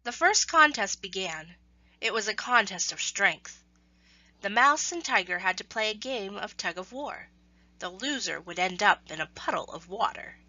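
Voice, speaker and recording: A woman reads a children's story aloud in scripted narration, with expressive, deliberate phrasing. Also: medium pitch, no room sound, lo-fi sound.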